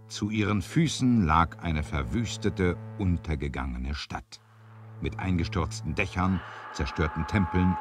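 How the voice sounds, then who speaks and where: A man narrating an adventure radio play from a script in a dramatic storytelling manner. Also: rumbling low.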